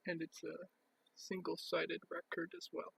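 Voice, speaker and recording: A man giving a casual show-and-tell as a collector, speaking in a halting, piecemeal way with pauses. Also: on the high side, level tone, creaky, fairly bright tone, slightly rough, no echo, rough recording. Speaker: record collector presenting his collection.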